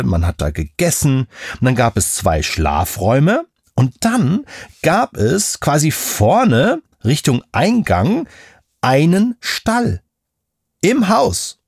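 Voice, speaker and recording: A man's voice: medium pitch, dry recording, studio quality. A man explaining things simply to a child as a show's expert, conversational and often checking understanding with questions. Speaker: Bible expert on a children's show.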